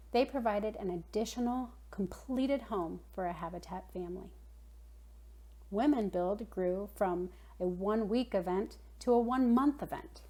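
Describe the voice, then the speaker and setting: A woman speaking for a nonprofit, reading a scripted address to supporters in a measured, earnest way. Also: mid-range voice, a little mellow. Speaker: nonprofit spokesperson.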